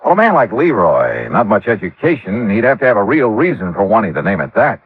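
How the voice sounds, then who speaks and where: A man's voice: fairly low pitch, very animated, fairly quick, breathy edge. An American actor playing a tough, laconic insurance investigator who tells his case in a clipped, wry 1950s radio detective style. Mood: weary, explaining. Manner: clipped, wry, hard-boiled. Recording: muffled old radio recording, faint music.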